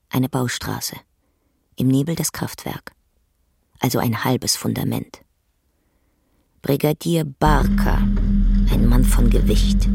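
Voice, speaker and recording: Stage-direction narrator: terse, very husky, creaky, radio play delivery. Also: male voice, mid-range voice, darkish tone, no echo, studio quality, full character voice. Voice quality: husky, breathy. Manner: deliberate, clipped phrases.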